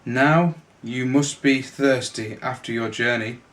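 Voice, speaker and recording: A man's voice: mid-range voice, takes it slowly, a bit bright. A man voicing a kindly, decisive married woman in a children's storybook, concerned and ready to take charge. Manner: exclaiming, concerned, takes charge.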